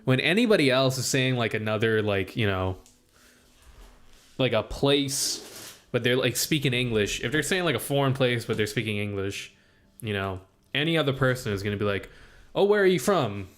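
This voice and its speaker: A man's voice: mid-range voice, lively intonation, normal speed, fairly bright tone. Rambling young man thinking aloud. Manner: meandering, full of "like" and restarts, thinks aloud. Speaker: student streamer. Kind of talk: casual rambling monologue.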